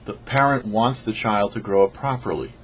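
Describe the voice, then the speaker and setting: A man reading a scripted religious teaching as a rabbi and show host, phrasing deliberately and emphatically with pauses. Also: lowish voice. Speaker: rabbi, host of a weekly Torah portion show. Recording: dull lo-fi audio with faint noise.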